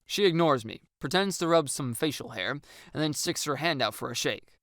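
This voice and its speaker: A narrator reading a first-person story with acted dialogue, in an expressive and wry storytelling manner. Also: mid-range voice, moderate pace, no echo, studio quality.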